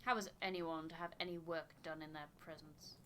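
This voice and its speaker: A woman's voice: deep voice, fairly even intonation, fairly quick, sharp tone, a little hiss or hum. A woman voicing a prim, irritable, duty-bound expedition member in a game, formal and curt. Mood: prim, annoyed.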